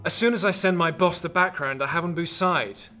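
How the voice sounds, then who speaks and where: An actor playing a flustered, secretive boyfriend who works in intelligence, stammering and evasive, in a radio drama. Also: male voice, a little high, a little fast, sharp tone, faint music behind. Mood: reassuring. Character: flustered, secretive boyfriend, a male MI5 computer agent.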